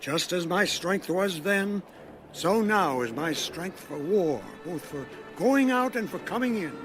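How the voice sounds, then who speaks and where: A proud, steadfast warrior of eighty-five in a dramatized audio Bible. The delivery is slow, measured and declamatory, pitched a little high for a man, with music clearly underneath. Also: animated, a bit bright. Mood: solemn, proud. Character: steadfast eighty-five-year-old male Bible warrior recalling his service.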